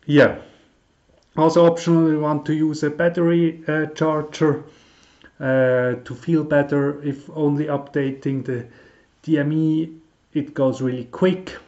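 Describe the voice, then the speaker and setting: A man's voice: on the high side, level tone, a little mellow, muffled recording. Man, casual car tutorial. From Switzerland. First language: German. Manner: halting and informal, many fillers and restarts, non-native phrasing. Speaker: YouTube car tutorial host. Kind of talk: casual tutorial talk to camera.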